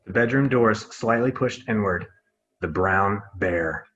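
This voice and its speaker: A man's voice: on the low side, a little slow, a bit bright, muffled recording. A man reading out scripted stage directions as narrator of a drama table read.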